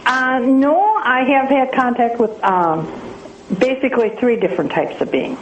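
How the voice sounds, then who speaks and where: A woman's voice: a little high, expressive, measured pace, highs cut off. Woman in a noisy, echoey interview. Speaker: interview guest. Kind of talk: conversational radio interview account.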